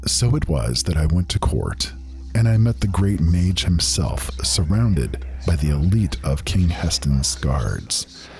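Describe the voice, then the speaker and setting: A man performs a proud fantasy mage with an extremely low voice. He tells of his life gravely and earnestly, in old-fashioned storyteller phrasing, with faint music underneath. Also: very animated, slow pace, a little mellow, heavily acted. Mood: proud, relieved. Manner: solemn, archaic storyteller phrasing. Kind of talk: dramatic fantasy story narration.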